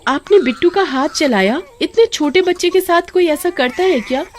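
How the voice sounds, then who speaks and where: Woman voicing a gentle, earnest young aunt. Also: extremely high pitch, expressive, moderate pace, voice pushed up. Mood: concerned reproach. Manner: tender, earnest, pleading. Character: gentle, caring young aunt, protective of baby nephew.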